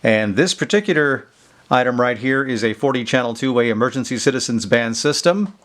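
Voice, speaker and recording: A man's voice: medium pitch, animated, brisk, a bit bright. A man hosting a casual product demonstration video, explaining things in a folksy, informal way. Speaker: video host showing vintage electronics. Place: indoors in a basement.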